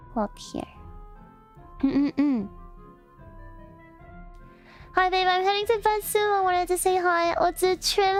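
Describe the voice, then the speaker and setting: A young woman casually chatting on a livestream, giggly and rambling, with lots of fillers and restarts, playful and affectionate toward her chat. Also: very high-pitched. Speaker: streamer. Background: faint background music.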